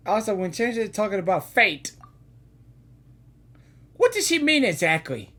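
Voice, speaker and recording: A woman giving casual, excitable live reactions as a gaming video host. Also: medium pitch, moderate pace. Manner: excitable interjections.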